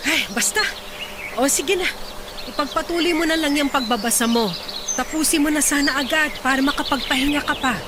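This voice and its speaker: Babaeng gumaganap na maalalahaning nanay, maingay ang paligid. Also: female voice, high voice, fairly quick, heavy background noise, lightly acted. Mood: evasive, resigned. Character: worried, loving poor mother of fifty. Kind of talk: Tagalog radio drama acting.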